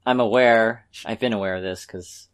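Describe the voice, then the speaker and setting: Low-voiced, tentative man chatting. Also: fairly even intonation, normal speed, smooth. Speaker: podcast co-host. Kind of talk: casual chat about anime shows.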